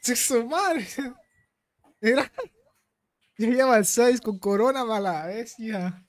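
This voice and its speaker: A young Guatemalan man with a Guatemalan Spanish accent, streaming a game and chatting casually with loud, excited outbursts. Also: very high voice, slow pace. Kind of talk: casual gaming chat.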